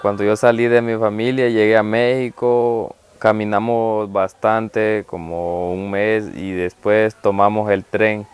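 A man's voice: on the low side, fairly even intonation, unhurried, hardly any pauses, soft background music. A young man from Honduras telling his story plainly in a documentary interview, indoors. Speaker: migrant. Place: indoor shelter room.

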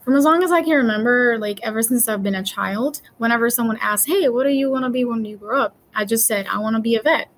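A woman's voice: on the high side, fast, hardly any pauses. A woman in her twenties from Puerto Rico, a veterinary student answering interview questions in a cheerful, earnest way.

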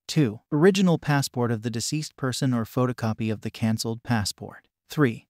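A man narrating an informational script in an even, measured reading voice, recorded close on a voice-over mic. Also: mid-range voice, no room sound.

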